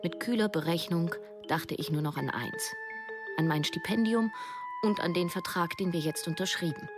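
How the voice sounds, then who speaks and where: A woman's voice: lowish voice, moderate pace, over music, lightly acted. An actress playing a disillusioned, sceptical writer in her thirties, narrating and acting in a radio drama with sober, wry, literary phrasing. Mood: sober, rueful. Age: 30s. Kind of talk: first-person radio drama narration and dialogue.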